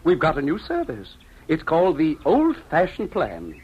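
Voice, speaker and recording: A man's voice: a little high, wildly swooping pitch, a little slow, breathy edge, exaggerated voice. An actor playing a brash, slick salesman in an old-time radio drama, with breezy, boastful patter. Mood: boastful pitch. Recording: muffled vintage radio recording.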